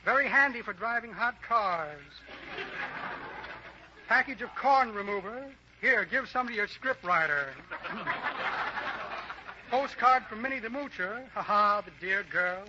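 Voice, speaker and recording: A man's voice: sits high, expressive, takes it slowly, pauses often. An actor playing a boastful, shifty magician and con man with pompous, florid patter in an old American radio comedy. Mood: rambling, evasive. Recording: muffled, hissy old-time radio recording.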